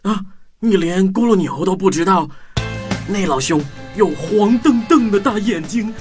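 A man's voice: high voice, lively intonation, a little slow, faint music behind. A man playing a small, cunning, stammering mouse in a children's audio drama, with exaggerated delivery. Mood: boastful, menacing. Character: small clever timid-seeming mouse, cunning bluffer.

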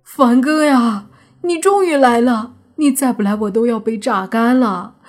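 A woman's voice: fairly high pitch, unhurried, faint music behind, voice pushed up. A woman narrator voicing a worn-out, self-pitying young man who sobs as he speaks in an audiobook story. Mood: sobbing relief. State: acted sobbing.

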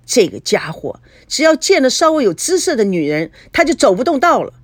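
A woman's voice: high voice, sing-song, brisk, dry recording, pitched above the performer's own voice. A woman voicing a foul-tempered, suspicious mother in a story, scolding with coarse sarcasm. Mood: sour, accusing.

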